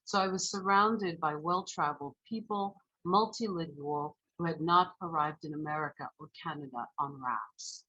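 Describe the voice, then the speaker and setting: A woman in her forties delivers a sermon in a calm, reflective voice that sits low and carries a husky edge. French was her first language, and she moves through her story at a measured, storytelling pace. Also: muffled recording. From Haiti. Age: 40s. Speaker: minister.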